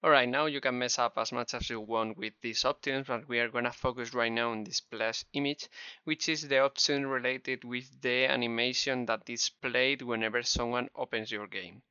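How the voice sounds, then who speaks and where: A man's voice: medium pitch, fairly even intonation, normal speed, pushed and bright, clear, resonant tone, no echo. A man with a Spanish accent narrating a step-by-step game development tutorial in a friendly, encouraging way. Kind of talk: screen-recorded tutorial narration. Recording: dull, slightly lo-fi close mic.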